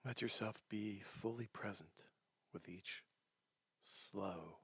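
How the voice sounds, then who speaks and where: A man leading a guided meditation in a soft, breathy, gentle voice, unhurried and leaving long pauses between short phrases. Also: fairly low pitch, dry recording. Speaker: meditation teacher.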